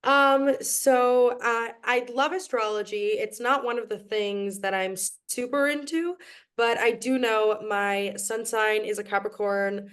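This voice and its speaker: A woman's voice: a little high, a little slow, a bit bright, smooth. A young woman from New York, a podcast guest who speaks in a reflective, casual way with frequent ums and restarts. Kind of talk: casual interview chat.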